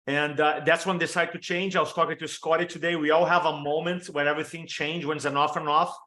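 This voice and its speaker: A man in his fifties from Brazil with a Brazilian Portuguese accent, giving an energetic storytelling training talk over a video call and stacking quick lists of examples. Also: on the high side. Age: 50s. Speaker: motivational speaker and storytelling coach.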